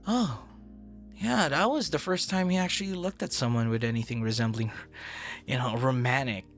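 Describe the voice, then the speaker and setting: A man playing a friendly, flustered young romantic in an intimate boyfriend audio roleplay, talking in a hesitant, rambling, conversational way with soft breathy asides. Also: mid-range voice, moderate pace, soft background music, close to an everyday voice. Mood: wistful, casual.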